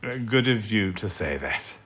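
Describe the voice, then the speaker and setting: An actor playing a courteous, grave wartime intelligence chief, measured and understated, in an audio drama. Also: male voice, on the low side, animated, background noise. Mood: modest, gracious.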